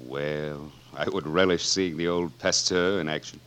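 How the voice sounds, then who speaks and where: An actor playing a courteous, shrewdly goading doctor in a 1940s radio drama, with a polite, theatrical, suggestive manner. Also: male voice, very deep voice, steady tone, a little slow, a bit bright, slight background noise. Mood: courteous, coaxing.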